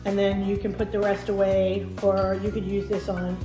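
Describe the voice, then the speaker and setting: A woman's voice: medium pitch, monotone, normal speed. A middle-aged woman explaining a recipe step by step in a casual cooking demonstration. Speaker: cooking show host. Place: home kitchen, indoors. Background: music, frying and sizzling sounds.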